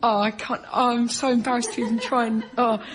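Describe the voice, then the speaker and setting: Female panel guest, high voice, casual delivery, heavy background noise. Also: flat delivery, brisk. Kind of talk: casual comedy panel chat. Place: live radio show before an audience. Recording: noisy live show recording.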